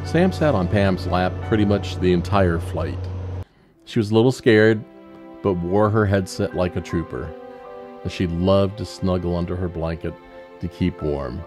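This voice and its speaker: A man narrates in a relaxed storytelling way, as if chatting to viewers. Clear background music runs under his casual voice-over. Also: low-pitched, normal speed. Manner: conversational, storytelling. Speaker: narrator, pilot of volunteer animal rescue flights.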